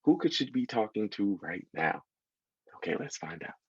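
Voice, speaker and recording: An American man in his thirties reading a children's book aloud, expressively, pausing to put questions to his young listeners. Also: lowish voice, slightly rough, no echo, lo-fi sound. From United States. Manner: expressive, engaging listeners with asides. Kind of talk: animated storytelling to children, with questions.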